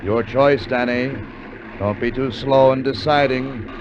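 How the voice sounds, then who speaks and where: An actor playing a shrewd, unyielding seafarer, measured and coolly menacing, in a 1950 radio drama. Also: male voice, medium pitch, steady tone, lightly acted. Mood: firm, threatening. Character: calm, shrewd, unyielding seafaring partner turned accuser. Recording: muffled, noisy vintage radio recording.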